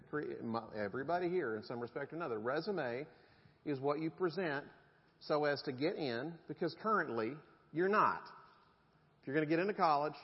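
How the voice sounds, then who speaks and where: A man's voice: fairly high pitch, breathy edge. A middle-aged man, preaching a conversational sermon with jokes to his congregation. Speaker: pastor. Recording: dull sound in a reverberant hall.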